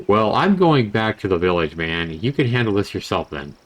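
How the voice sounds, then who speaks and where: A man's voice: fairly low pitch, very animated, normal speed, low-quality recording. A man voicing a worried, grumbling young adventurer from a visual novel in a dry, exasperated manner. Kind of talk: visual novel character reading.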